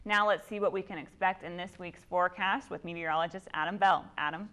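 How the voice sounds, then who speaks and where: A woman's voice: lowish voice, fairly even intonation, quick pace, fairly bright tone. A woman with an American accent anchoring a morning news broadcast and handing over to the weather segment.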